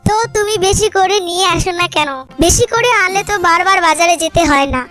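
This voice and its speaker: A woman voicing a cruel, scolding jackal housewife in a Bengali cartoon, bossy and sharp-tongued. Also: very high voice, fast talker. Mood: bossy, nagging. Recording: music behind the voice.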